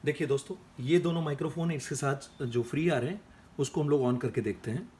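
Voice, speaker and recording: Higher-voiced man demonstrating a product. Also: a little fast. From India. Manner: explanatory, addressing viewers as friends. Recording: clear background noise and ambience.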